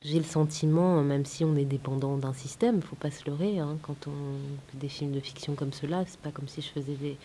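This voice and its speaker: Réalisatrice hésitante, souffle léger en fond. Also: female voice, low-pitched, fairly even intonation, brisk, hardly any pauses, mellow. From France. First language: Italian. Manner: hesitant, many fillers and restarts, thoughtful. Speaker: film director. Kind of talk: festival interview. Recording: faint hiss.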